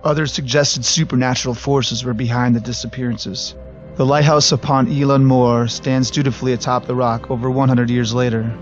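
A man narrating a scripted documentary in a measured, even storytelling manner. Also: medium pitch. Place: voice-over recorded apart from the footage. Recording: slightly dull recording with faint music.

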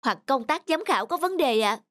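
A woman's voice: high-pitched, expressive, a little fast. A woman voicing an earnest, respectful young male student in a cartoon, speaking formally. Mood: indignant. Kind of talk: cartoon dubbing. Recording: music under the voice.

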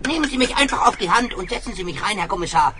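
A man's voice: animated, fast talker, a crowd in the background. A man voicing a small, polite, chirpy talking mouse in a children's radio play. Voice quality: creaky, small high voice. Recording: radio play, loud car noise.

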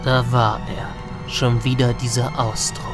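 Male narrator for sci-fi audio drama, medium pitch, clear music bed. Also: level tone, measured pace. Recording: music under the voice.

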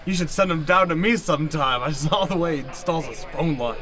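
A man's voice: on the high side, fairly quick, a bit bright, natural voice. A man playing a wry professor with a dry, knowing, mocking manner in an improvised old-time radio play. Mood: musing. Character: wry, sardonic male professor turned amateur sleuth.

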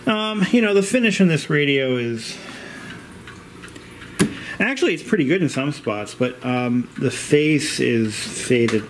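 A man's voice: mid-range voice, expressive. Casual man rambling over background noise. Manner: rambling, halting, plain-spoken with mild swearing. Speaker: vintage radio restorer, vlogger. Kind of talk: casual rambling narration of a repair. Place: indoors in a home. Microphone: handheld camera, operator behind it. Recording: clear background room noise.

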